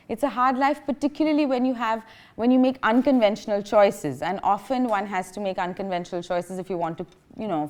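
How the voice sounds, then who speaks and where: A woman in her thirties from India, whose first language is Bengali, giving a public lecture in a chatty, self-deprecating way, with frequent laughs, fillers and restarts. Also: medium pitch. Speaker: guest speaker. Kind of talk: public lecture, personal anecdotes. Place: lecture hall.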